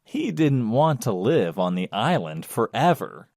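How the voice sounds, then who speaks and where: A man narrating a children's story in a measured, expressive storytelling manner. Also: medium pitch, creaky, dry recording, studio quality.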